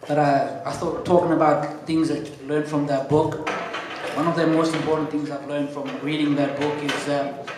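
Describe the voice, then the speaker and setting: A man with a South African accent compering a stand-up comedy night, dropping deadpan one-liners and chatting to the crowd from the stage. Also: a little high, moderate pace, background noise. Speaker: comedy show MC. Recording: very echoey room.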